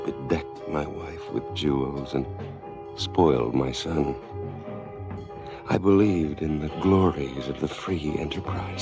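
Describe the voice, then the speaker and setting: An actor playing a weary, disgraced professor turned sinister inventor, speaking with measured deliberation in a noir radio drama. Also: male voice, very deep voice, vocal fry, heavily acted. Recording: 1970s stereo radio drama, music underneath. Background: music.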